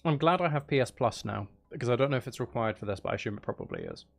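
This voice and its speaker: A man's voice: mid-range voice, huge pitch swings, very fast, creaky. Casual, self-deprecating male streamer over music. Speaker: game streamer and audiobook narrator. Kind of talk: casual livestream chat and game commentary.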